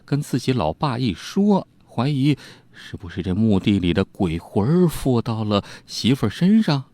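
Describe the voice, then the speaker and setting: A man telling an old crime tale in an animated, conversational storytelling style, with spoken asides. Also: medium pitch, moderate pace, no room sound. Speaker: storyteller host.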